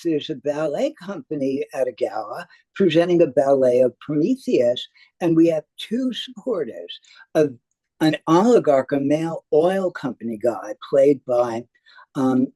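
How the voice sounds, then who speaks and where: Hesitant man reviewing theater, animated pitch. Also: no echo. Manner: halting, with frequent hesitations. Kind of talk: semi-scripted spoken review.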